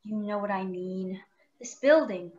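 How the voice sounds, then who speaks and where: A woman's voice: mid-range voice, a little slow, darkish tone, highs cut off, natural voice. A woman playing a gracious, concerned young wife with polite, genteel speech in a radio play. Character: gracious, concerned young married former nurse.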